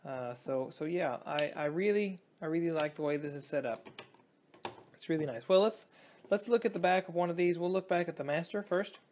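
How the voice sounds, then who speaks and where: Young adult man, somewhat higher voice, casual hobbyist demo, halting delivery, indoor tabletop recording. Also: a little mellow, a little hiss or hum. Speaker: hobbyist presenter. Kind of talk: casual hands-on electronics demonstration.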